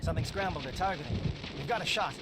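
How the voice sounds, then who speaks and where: A man's voice: on the high side, heavy background noise, lightly acted. A man playing an urgent soldier in a sci-fi video game, calling out clipped combat orders. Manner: rapid, clipped military callouts.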